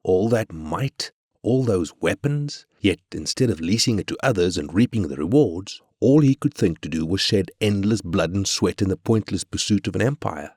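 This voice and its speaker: A very deep, dry-recorded South African corporate chairman from a strategy game. He is brash and money-minded, talking with cynical swagger. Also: lively intonation, normal speed, darkish tone, breathy edge, no echo. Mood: scornful. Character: brash, profit-hungry male corporate chairman. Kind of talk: scripted game character voice lines.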